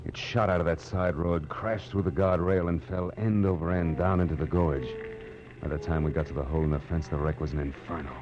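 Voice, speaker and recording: An actor playing a hard-boiled, world-weary private detective in a 1940s radio drama, with an American accent and clipped, deadpan delivery. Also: male voice, low-pitched, a little fast, lightly acted. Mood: grave. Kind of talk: 1940s radio noir acting, first-person narration. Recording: muffled old radio recording with music.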